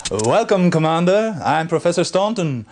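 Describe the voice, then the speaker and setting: An actor playing an eager, courteous military research professor in a video game briefing, explaining things politely. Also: male voice, a little high, sing-song, moderate pace, darkish tone, a little hiss or hum. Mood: courteous, explanatory. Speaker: research scientist character in a game cutscene.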